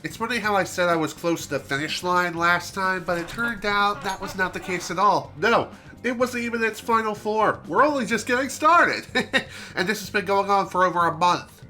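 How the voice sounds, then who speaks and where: A man's voice: high-pitched, normal speed, pushed and bright. A man chatting through a game stream in a casual let's-play style, his voice nasal and congested, with chatty self-deprecating asides. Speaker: streamer playing a video game. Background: game music.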